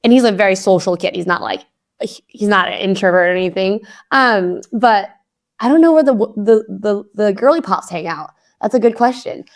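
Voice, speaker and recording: A woman's voice: mid-range voice, moderate pace. Chatty young woman from Hong Kong. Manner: chatty, upbeat, many "like" fillers. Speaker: guest investor. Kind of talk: casual podcast interview.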